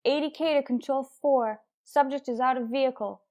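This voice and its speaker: An actress playing a tough, dry police detective in a radio crime drama, speaking in a terse, hard-boiled manner. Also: female voice, high voice, normal speed, darkish tone, close to an everyday voice. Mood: urgent, clipped.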